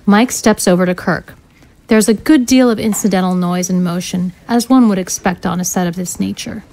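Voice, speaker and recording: Mid-pitched woman narrating scene directions. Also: normal speed, a little mellow, low-quality recording. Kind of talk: scripted narration.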